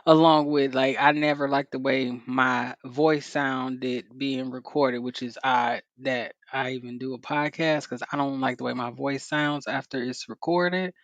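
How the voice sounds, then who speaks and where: Podcast co-host, woman, deeper voice, relaxed chatty delivery with fillers, dry close sound. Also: measured pace, few pauses, no room sound, highs cut off. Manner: laughs often, many fillers like "you know". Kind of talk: casual chat.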